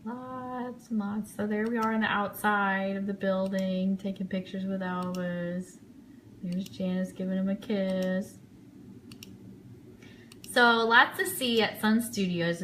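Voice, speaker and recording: A woman's voice: medium pitch, steady tone, measured pace, a bit bright, slight background noise. A woman giving casual live commentary on trip photos as host of an online fan show, chatty and enthusiastic.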